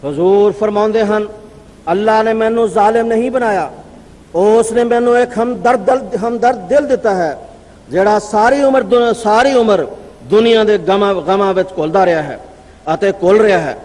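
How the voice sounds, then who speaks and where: A man with a Punjabi accent giving a formal religious speech at a convention, declaiming in measured phrases and pausing to read out citations. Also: high-pitched, a little mellow, long reverb tail. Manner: declaiming with long pauses, reading out citations. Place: convention gathering.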